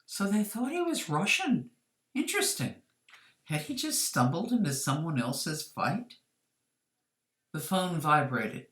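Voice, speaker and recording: A woman's voice: low voice, sing-song, unhurried, pauses often. Woman reading fiction in an echoey room. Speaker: author reading her own short story. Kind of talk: scripted story narration.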